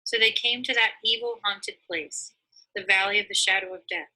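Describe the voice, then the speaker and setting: Very bright, husky woman storyteller. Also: mid-range voice, moderate pace, poor audio. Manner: dramatic, expressive. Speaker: story reader. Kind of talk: scripted storybook narration.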